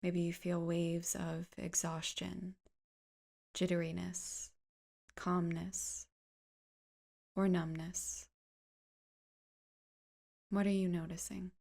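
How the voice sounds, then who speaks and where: A woman leading a guided meditation in a soft, gentle, soothing way, with unhurried phrases that turn breathy at the ends. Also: fairly low pitch, level tone.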